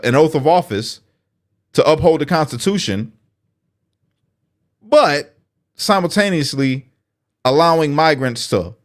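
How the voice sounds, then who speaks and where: A man hosting political commentary, speaking bluntly and sarcastically as if straight to the person he criticizes. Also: a little high. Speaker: commentary host.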